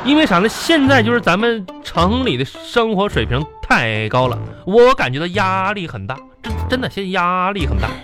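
A man's voice: sits high, very animated, normal speed, few pauses, a bit bright, soft background music. A man playing a needy, pushy office worker in a radio comedy sketch, with a stammering, pleading way of talking.

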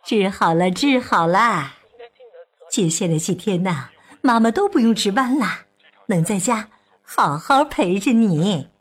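A woman playing a gentle, dutiful doctor mother with a soothing, earnest manner in a children's radio drama. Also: mid-range voice, lively intonation, unhurried, slightly rough. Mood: tender.

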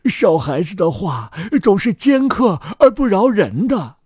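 A man narrates a fairy tale expressively from a script. His mid-pitched voice is husky and very animated in intonation, with a dry, close sound. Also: unhurried, dry recording.

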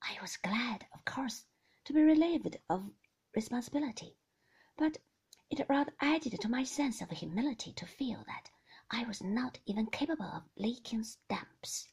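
A woman narrates a novel in first person with a soft, hushed delivery. Her voice is breathy and husky, pitched a little high. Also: lively intonation, normal speed. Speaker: audiobook reader.

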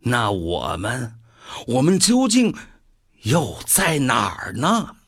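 An actor playing the Devil as a defeated, searching spirit in a radio drama, with a husky voice. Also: male voice, mid-range voice, huge pitch swings, slow pace, full character voice. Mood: pensive, searching.

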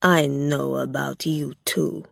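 A woman's voice: very deep voice, fairly even intonation, slow, creaky, no echo, studio quality, voice pushed down, full character voice. A woman voicing a rich, greedy man who rules with a cold, short temper and speaks in curt orders.

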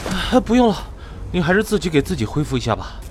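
Casting: protective young fantasy priest, exasperated but earnest, high lively voice, noisy ambient backdrop. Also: male voice, fast. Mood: gently teasing. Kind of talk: audio drama acting.

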